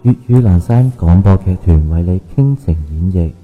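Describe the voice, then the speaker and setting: A man's voice: low voice, lively intonation, normal speed. A man reading a scripted announcement for a radio drama. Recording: muffled thin sound, music behind.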